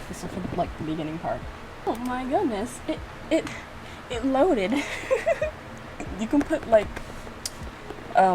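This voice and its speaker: Animated woman chatting over clear background noise. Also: medium pitch. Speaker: video creator reacting to own visual novel. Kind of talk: casual reaction commentary.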